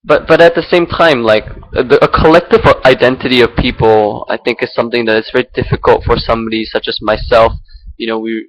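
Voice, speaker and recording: A man's voice: medium pitch, steady tone, fairly quick, dull audio. Young man, from Singapore, rambling unscripted musings, hesitant with fillers, laptop mic. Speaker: vlogger. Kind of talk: unscripted personal reflection. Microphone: laptop built-in mic.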